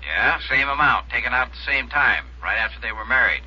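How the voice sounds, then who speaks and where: A man's voice: mid-range voice, expressive, brisk, sharp tone, husky, full character voice. An actor playing a dry, teasing American insurance man heard through a telephone filter in 1950s radio drama. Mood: helpful, curious. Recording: thin phone line on old radio.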